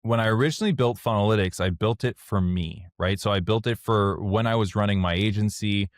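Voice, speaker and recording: A deep-voiced man, a French speaker by birth, talks openly about his own past in a casual interview. His voice sits low and sounds close and dry, with no room around it. He speaks frankly and works his thoughts out as he goes. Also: fairly quick, dry recording. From Canada (Montreal). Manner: candid, plainspoken, thinks aloud as he talks. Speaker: guest, software founder.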